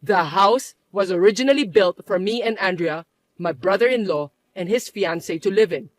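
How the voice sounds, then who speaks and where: A woman voicing a calm adult son and husband who explains things to his mother in a firm, patient way. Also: medium pitch, normal speed, no room sound, lightly acted, poor audio. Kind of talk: dramatized story voice acting.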